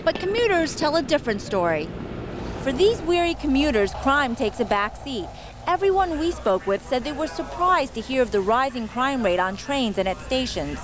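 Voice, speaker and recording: A woman delivers a live TV news field report in a fairly high voice. She is on location at a busy station, with clearly audible ambient noise around her. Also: big pitch swings, normal speed.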